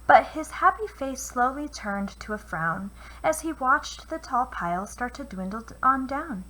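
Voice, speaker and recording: A woman reading a children's picture book aloud with expressive, deliberate phrasing, as a teacher would for young listeners. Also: high-pitched. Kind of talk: children's storybook read-aloud. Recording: noisy home recording.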